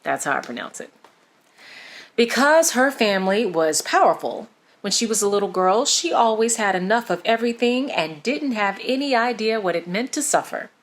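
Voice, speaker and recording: A woman narrates a storybook for children in an expressive, deliberate read-aloud style. Her medium-pitched voice moves widely up and down, leaning on important words. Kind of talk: scripted read-aloud narration of a saint's life.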